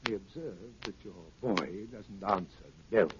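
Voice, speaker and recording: Man playing a cold older villain, low measured voice, old muffled radio drama with noise. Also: natural voice. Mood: cold menace. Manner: measured, formal, veiled threats. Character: cold, menacing older male criminal mastermind. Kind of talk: 1930s radio drama acting. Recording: muffled, noisy 1930s radio recording.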